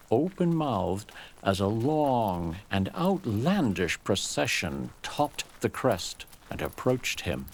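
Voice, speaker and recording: A man reads a dramatic story as narrator, at an unhurried, slow pace. His medium-pitched voice moves expressively up and down, with deliberate phrasing that gives each description weight.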